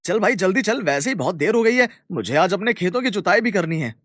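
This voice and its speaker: Guy playing young village farmer in a cartoon, friendly n earnest, highish voice, super expressive, dry sound. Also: fairly quick, no room sound, heavily acted. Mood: hurried. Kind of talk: Hindi cartoon story dialogue.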